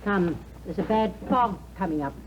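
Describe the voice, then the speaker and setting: An actress playing a cold, curt old aunt keeping a gloomy manor in a 1950s English-set radio drama. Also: female voice, medium pitch, animated, normal speed, close to an everyday voice. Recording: dull lo-fi old radio recording with hiss.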